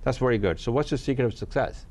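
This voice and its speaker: A man interviewing a guest with short questions and quick affirmations. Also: medium pitch, a little mellow. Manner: brisk, short prompts and affirmations.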